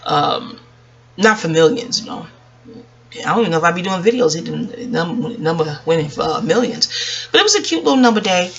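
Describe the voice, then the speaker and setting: A woman's voice: fairly low pitch, animated, a little fast, creaky, a bit bright, muffled recording. A woman from Queens telling a story casually to viewers, conversational, slipping into reported dialogue. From Queens, New York. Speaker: vlogger.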